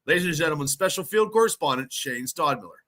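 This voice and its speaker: A man's voice: on the high side, lively intonation, quick pace, sharp tone. A congested, under-the-weather man hosting a casual sports podcast, rambling with frequent restarts. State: congested, sick. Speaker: podcast host.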